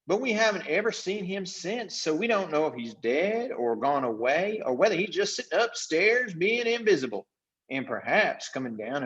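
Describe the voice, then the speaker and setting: Guy doing a long-winded folksy spirit chief from a storybook, pitched high, swoops around, kinda dull recording. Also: close to an everyday voice. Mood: earnest, complaining. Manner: rambling, folksy, nonstandard grammar.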